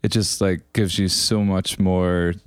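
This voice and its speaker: Man, podcast co-host, chatty, rambling fillers, lowish smooth voice. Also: little pitch movement, measured pace, no room sound, studio quality. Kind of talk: casual interview chat. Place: podcast studio.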